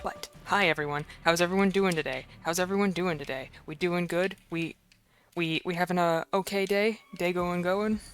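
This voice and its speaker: Woman streamer, a bit hoarse, low-ish pitch, rambling playful chat. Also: normal speed, faint music behind. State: slightly hoarse. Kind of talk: casual live-stream chat.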